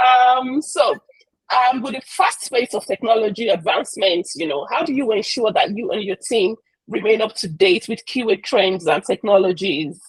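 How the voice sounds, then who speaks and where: A woman's voice: medium pitch, slightly rough, dry recording, low-quality recording. A woman hosting an interview, recapping answers and asking questions in a hesitant way with many fillers. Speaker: host and moderator.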